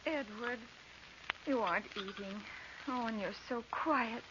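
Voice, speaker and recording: Woman acting a gentle ailing wife, muffled radio. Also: a little high, lightly acted. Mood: tender, worried. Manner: soft, tender, slow. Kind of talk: 1940s radio melodrama acting. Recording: dull, hissy old radio recording.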